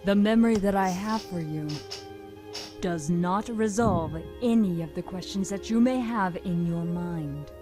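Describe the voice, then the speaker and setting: A woman voicing a calm, menacing demon of wrath with solemn, deliberate delivery in a visual novel game. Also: lowish voice, over music, lightly acted. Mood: solemn, cryptic. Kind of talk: dramatic visual novel voice acting.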